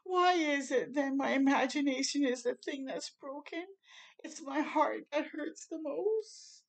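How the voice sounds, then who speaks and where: A woman voicing an earnest, unsure male stuffed owl in a children's storybook, speaking slowly and simply with a wondering manner. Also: high voice, creaky, voice pushed up. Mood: small, hurt. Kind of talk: children's storybook read-aloud.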